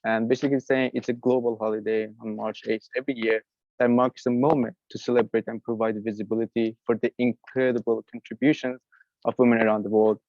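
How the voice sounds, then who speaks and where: A man's voice: medium pitch, level tone, a little fast, dry recording. A young man giving a prepared presentation as a facilitator of an online event. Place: online video call. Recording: dry, dull lo-fi call audio.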